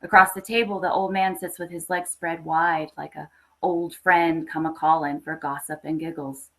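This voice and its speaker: Woman, low pitch, expressive story narration, literary horror reading. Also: normal speed. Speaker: story reader.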